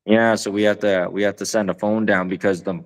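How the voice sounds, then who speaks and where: Deep-voiced laid-back man chatting. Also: little pitch movement, brisk. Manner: laid-back, rambling, swears casually, laughs often. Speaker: podcast co-host. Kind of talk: casual chat.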